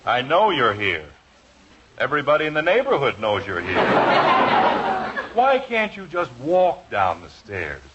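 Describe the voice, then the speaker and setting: A man's voice: medium pitch, huge pitch swings, measured pace, long pauses. An actor playing a flustered, evasive middle-aged husband and father in a 1950s radio sitcom, hesitant and exasperated. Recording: noisy, muffled old radio show recording.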